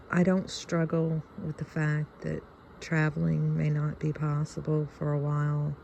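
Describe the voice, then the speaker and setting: A woman in her fifties with a Southern US accent, chatting reflectively and haltingly to her viewers. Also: low-pitched, creaky, warm tone, a little hiss or hum. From Louisiana, United States. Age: fifty-one. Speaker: video channel host. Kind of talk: casual reflective chat to viewers.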